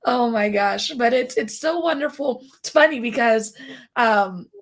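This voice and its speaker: A woman with a raspy voice, hosting a podcast live stream in a bubbly, chatty way with many fillers. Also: a little high, a little slow. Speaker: podcast host. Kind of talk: casual live-stream chat.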